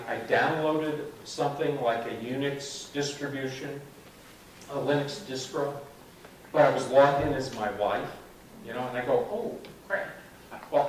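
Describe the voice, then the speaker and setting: A man's voice: mid-range voice, slight background noise. Chatty man in an echoey room. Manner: chatty, explains step by step with frequent "okay". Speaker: club meeting presenter. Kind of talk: casual computer club demo. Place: meeting room, heard from a distance. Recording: echoey room, far from the mic.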